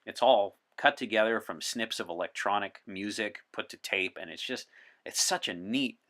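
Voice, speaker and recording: A man's voice: lowish voice, moderate pace, a bit bright. An American man explaining things conversationally as a podcast guest, earnest and enthusiastic. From United States. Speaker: podcast guest, presented as a time traveler from 1964.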